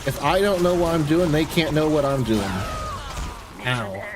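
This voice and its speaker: A man's voice: on the high side, animated, normal speed, background noise. A man streaming a video game, giving casual live commentary with mild, exclamatory grumbling. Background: video game sounds.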